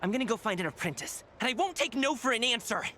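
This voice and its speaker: A woman's voice: on the high side, expressive, quick pace, very noisy, lightly acted. A woman playing a brash, pushy, hot-tempered young male dancer in a video game, blunt and impatient. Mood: exasperated.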